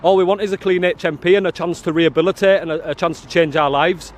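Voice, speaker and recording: A loud, confrontational man with a fairly high voice argues with people he films outside a prison. He talks in a rough, challenging way, and the sound comes from a handheld camera mic outdoors. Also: fairly even intonation, fast talker, a little hiss or hum. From England. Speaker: auditor filming outside a prison. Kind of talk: confrontational street filming. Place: outdoors by a prison car park.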